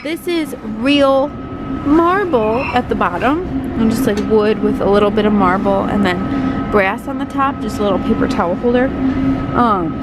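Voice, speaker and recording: A young woman with a stuffed-up voice from a cold, chatting casually while she browses a big store and films on her phone. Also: a little high. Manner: chatty, upbeat, lots of "like" and "kinda". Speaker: vlogger. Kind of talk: casual shop-with-me chat. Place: inside a large busy store. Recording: loud store noise, speaker and fan nearby.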